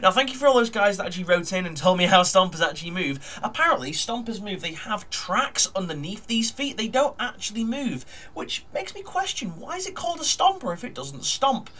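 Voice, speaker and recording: A man's voice: high-pitched, very animated, a little fast, hardly any pauses, bright, forceful tone. A man with a nasal, blocked-up voice from a cold, chatting casually at his desk while narrating a model build, full of ums and uhs. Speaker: hobby model builder vlogger.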